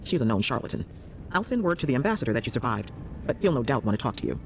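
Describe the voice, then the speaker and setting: An actress playing a brisk, caring female healer in a fantasy video game, inquisitive and expressive. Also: extremely low pitch, slight background noise, exaggerated voice. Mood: earnest, advisory.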